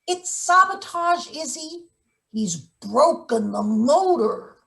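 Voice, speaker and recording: A woman's voice: high-pitched, sing-song, slow pace, gravelly, heavily acted. A woman playing a menacing, sardonic late middle-aged maintenance worker in a table read of a satirical play. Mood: accusing, sardonic. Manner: sardonic, interrogating, theatrical threats.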